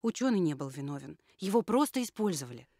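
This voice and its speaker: A woman's voice: fairly low pitch, very animated, fast, dark tone, dry recording, studio quality. A woman voicing a female puppet survivor who solemnly warns people about the future. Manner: solemn, admonishing. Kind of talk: puppet theatre performance.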